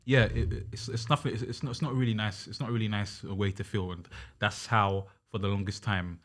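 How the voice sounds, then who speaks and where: Young man, low and rapid, earnest guest with lots of restarts. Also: steady tone. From Uganda. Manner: earnest, many restarts and fillers, quotes others in dialogue. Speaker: podcast guest. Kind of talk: casual chat.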